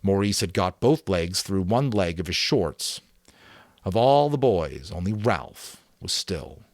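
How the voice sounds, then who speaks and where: A deep-voiced man reads a novel aloud in a dramatic audiobook manner. His low voice rises and falls freely, and his storytelling is expressive. The recording is close and dry. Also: slow pace, no echo. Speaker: narrator.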